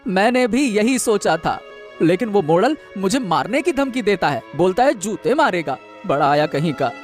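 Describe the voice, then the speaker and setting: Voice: mid-range voice, very animated, moderate pace, faint music behind, natural voice. A performer voicing a poor male fisherman in a cartoon who wails and pleads. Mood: consoling. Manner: wailing and pleading. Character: poor male fisherman, upset but hopeful.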